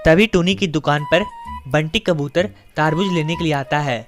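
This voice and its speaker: A woman narrates a scripted children's tale in a somewhat low voice. Soft music plays behind her storytelling. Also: moderate pace.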